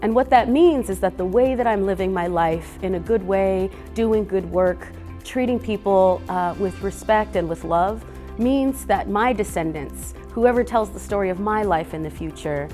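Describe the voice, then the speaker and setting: A woman's voice: medium pitch, moderate pace, warm tone, soft background music. A woman speaking earnestly and unhurriedly to children as a guest reader, with a few fillers. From Boise, Idaho. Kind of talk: gentle talk to kids.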